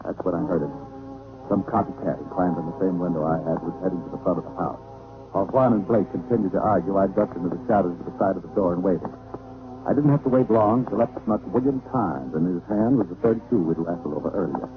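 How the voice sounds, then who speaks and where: A man's voice: deep voice, fast, over music, lightly acted. A man playing a wry, wisecracking American private detective in old-time radio drama, speaking with an American accent in dry, slangy hard-boiled style. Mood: wry narration. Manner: dry, sardonic, colorful slang. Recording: noisy, tinny vintage radio recording.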